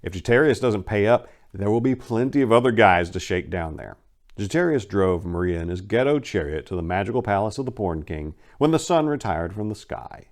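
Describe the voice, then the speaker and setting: Deep-voiced man giving a dramatic reading. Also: lively intonation, fairly quick. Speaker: channel host reading own short story. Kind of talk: dramatic reading with storytelling narration.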